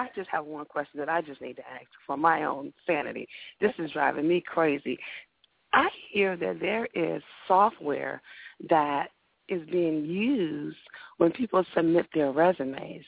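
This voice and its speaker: A woman with a raspy voice hosting a radio talk show in a studio, upbeat and often repeating her words. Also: deep voice, expressive, measured pace, dry recording, narrow, tinny audio.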